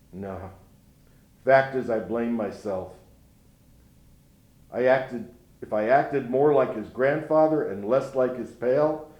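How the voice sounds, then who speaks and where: A man's voice: medium pitch, darkish tone, natural voice. An actor playing a grieving older grandfather who speaks in a folksy, rueful way in a dramatic monologue. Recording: roomy echo.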